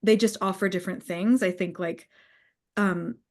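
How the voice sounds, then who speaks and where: A woman in casual, reflective conversation on a live online call, answering questions in a halting, thoughtful way as she searches for words. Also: medium pitch, fairly even intonation, a little mellow. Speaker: co-creator hosting a live audience call.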